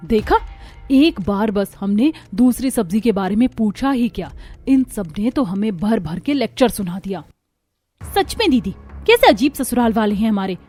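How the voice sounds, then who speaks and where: A woman's voice: mid-range voice, very animated, normal speed, darkish tone. Cartoon daughter-in-law, played by a woman: young, weary, whiny grumbler, faint music bed. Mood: indignant. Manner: whiny, complaining, exasperated. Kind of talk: Hindi cartoon story dialogue.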